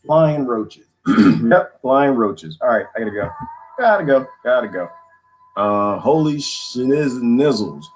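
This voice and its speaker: A man hosting a casual livestream, rambling and joking with viewers, laughing often, his voice going hoarse. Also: medium pitch, lively intonation, slow, faint music behind, low-quality recording. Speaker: livestream host.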